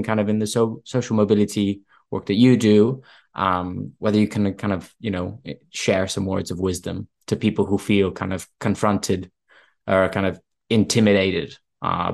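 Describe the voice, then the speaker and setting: A man's voice: low-pitched, creaky. A man interviewing a guest, asking long, hesitant questions full of fillers.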